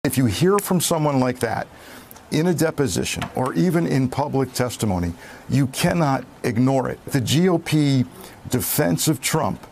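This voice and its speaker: An American man offers political commentary as an expert guest. His mid-pitched voice is gravelly with fry, his delivery emphatic, and there is faint noise behind him. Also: darkish tone. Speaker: former FBI official, news commentator.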